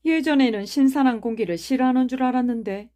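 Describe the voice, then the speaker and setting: A woman's voice: high-pitched, fast, dark tone, voice pushed up, close to an everyday voice. A woman doing a timid male doctor. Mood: cautious. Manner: hesitant, mild. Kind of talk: audiobook character reading.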